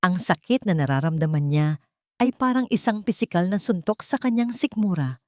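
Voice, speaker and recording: A woman narrator tells a dramatic story from a script. Her voice sits low for a woman. The sound is dry, with no room around it. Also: animated, normal speed, a little mellow, no echo.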